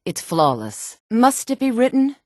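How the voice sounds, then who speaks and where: A woman voicing a sultry, sharp-tongued, disdainful townswoman and courtier in a fantasy video game. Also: fairly high pitch, sing-song, measured pace, dry recording, studio quality. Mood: haughty, sardonic. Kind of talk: video game character dialogue.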